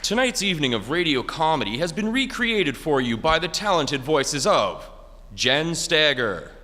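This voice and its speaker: A man's voice: fairly high pitch, big pitch swings, moderate pace. A man announcing in a formal, showman-like old-time radio style. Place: theatre stage before an audience. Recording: echoey hall, audience and background noise.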